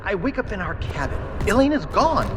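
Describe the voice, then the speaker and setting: A man playing an earnest, excitable young pirate hero who reads out his diary in a video game. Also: high-pitched, a little fast, very noisy. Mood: uneasy, haunted. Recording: loud music under the voice.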